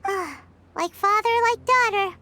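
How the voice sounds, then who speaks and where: A woman voicing a tiny, bubbly, nosy fairy companion in a video game, with a squeaky childlike voice and exclamatory manner. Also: animated, measured pace, very noisy. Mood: musing. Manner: speaks of self in third person, exclamatory.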